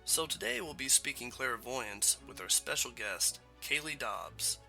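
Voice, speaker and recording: A man's voice: mid-range voice, expressive, a little slow, gravelly, pushed and bright, faint music behind. A man hosting a casual podcast interview, congested from a cold, chatty and laughing between points. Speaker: podcast host.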